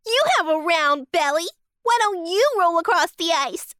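A woman's voice: extremely high pitch, lively intonation, moderate pace, a bit bright, no room sound, clean studio sound. A woman voicing a young female fox, childlike, bossy and dramatic, in a children's bedtime story.

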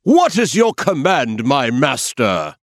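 A man's voice: medium pitch, wildly swooping pitch, slow pace, dry recording, studio quality, voice pushed up, heavily acted. Man voicing a smug, boastful magical dog. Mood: obedient. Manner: boastful, self-satisfied. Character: smug clever magical dog with huge eyes.

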